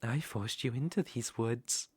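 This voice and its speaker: A man's voice: mid-range voice, unhurried, darkish tone, slightly rough, dry recording. A man acts out a gloating British sorcerer for an ASMR roleplay. He takes his time, sliding between syrupy cooing and smug menace as he dotes on his captive. The sound is close and dry. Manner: cooing baby talk mixed with smug menace. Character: gloating, doting, cruel male sorcerer captor.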